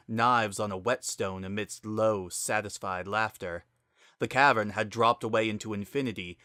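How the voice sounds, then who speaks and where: A narrator giving a scripted dramatic story reading with an intense, suspenseful, measured literary delivery. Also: medium pitch, fairly bright tone, dry recording, clean studio sound.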